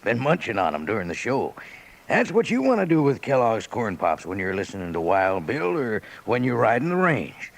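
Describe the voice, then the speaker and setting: A man playing a chummy, folksy cowboy sidekick with a gravelly voice, pitching in a radio advertisement. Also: huge pitch swings, normal speed, heavily acted. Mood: chummy, enthusiastic. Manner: folksy, chummy western talk. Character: Panhandle Jim, folksy old cowboy sidekick pitching cereal.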